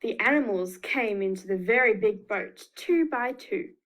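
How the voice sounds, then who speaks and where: A woman's voice: mid-range voice, lively intonation, a little slow, fairly bright tone, low-quality recording. A woman with an Australian accent reading a picture book to young children, expressively, with emphatic repetition. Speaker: children's storyteller.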